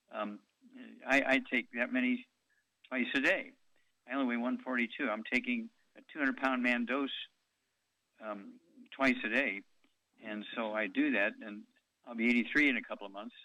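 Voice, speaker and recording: A man's voice: mid-range voice, fairly even intonation, moderate pace, pushed and bright, no echo, highs cut off. A man in his eighties hosting a call-in radio show, talking in a rambling way with many ums and uhs. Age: 80s.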